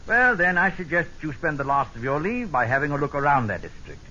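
An actor playing a brisk, jovial, middle-aged British general who hems and speaks in clipped phrases, in old-time radio drama style. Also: male voice, fairly high pitch, sing-song, pushed and bright. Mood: genial, clubbish. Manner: clipped, hemming, hearty upper-class officer talk. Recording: dull, noisy vintage radio broadcast.

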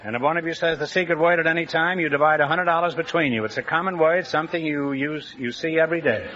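A man hosting a quiz show, teasing and joking with contestants in quick banter. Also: on the high side, fairly bright tone. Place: broadcast studio with live audience. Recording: dull old radio broadcast with studio audience.

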